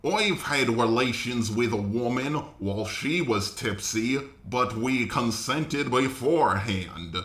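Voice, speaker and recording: A man's voice: mid-range voice, expressive, slow pace, hardly any pauses, fairly bright tone. A man giving casual opinion commentary in a blunt, informal way. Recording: echoey room.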